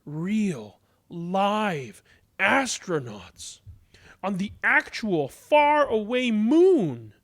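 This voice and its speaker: High-pitched, animated male storyteller. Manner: dramatic, deliberate delivery with drawn-out words. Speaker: storyteller reading a picture book aloud. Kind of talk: expressive children's read-aloud narration.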